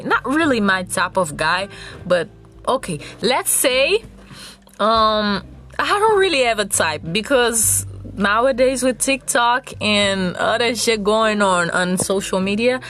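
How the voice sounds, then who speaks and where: A woman's voice: medium pitch, a bit bright. A young woman from Haiti with a Haitian accent, whose first language is Creole, talking casually and rambling on her own podcast, often giggling. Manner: chatty, rambling, with frequent giggles. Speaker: podcast host. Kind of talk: casual solo podcast monologue.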